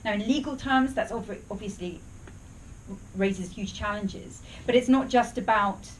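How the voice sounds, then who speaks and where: A woman's voice: medium pitch, measured pace. Woman, researcher, answering questions, restarts often, distant mic, faint room noise. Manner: thoughtful, frequent restarts and hedges. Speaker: researcher presenting at a briefing. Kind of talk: academic Q&A answer.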